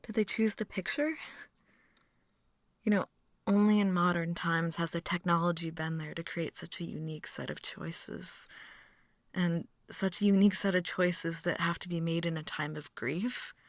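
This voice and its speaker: A woman's voice: on the low side, steady tone, normal speed, no room sound, studio quality, natural voice. A woman acting a chatty, philosophically morbid date in an intimate ASMR roleplay, musing and teasing as she rambles to the listener. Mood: wry, musing.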